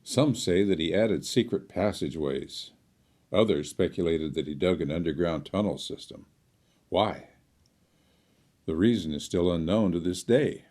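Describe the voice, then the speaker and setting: A man playing a courteous small-town storyteller in an audio drama, with a folksy, measured, old-fashioned politeness. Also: low voice, expressive, a little mellow. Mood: storytelling.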